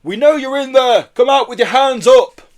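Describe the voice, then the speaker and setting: A man voicing an authoritative policeman in a children's story, booming out orders in an official, commanding way. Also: moderate pace, close to an everyday voice. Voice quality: booming, loud. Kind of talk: children's story read aloud.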